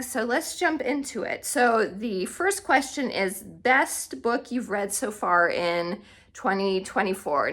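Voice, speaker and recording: Bright-voiced woman chatting casually, unhurried. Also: on the high side. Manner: chatty, self-correcting, informal. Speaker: book content creator and host. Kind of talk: casual chatty book vlog.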